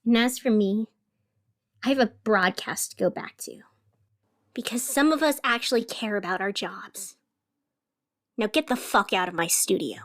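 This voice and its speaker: A woman's voice: medium pitch, moderate pace, long pauses, close to an everyday voice. A woman playing an emotional, wounded radio host in an audio drama. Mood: bitter, resigned. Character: female radio host, wounded, fiery, turning soothing on air.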